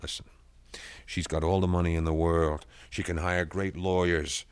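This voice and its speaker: A man's voice: low voice, little pitch movement, normal speed, smooth, natural voice. A man playing a scheming, stammering suspect. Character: suspicious, scheming accused man. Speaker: accused man out on bail. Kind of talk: 1970s radio drama acting.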